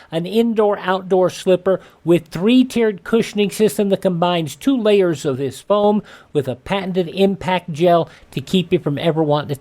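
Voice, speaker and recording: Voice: medium pitch, dark tone. A radio host reading scripted segments in a folksy way, dropping in personal asides.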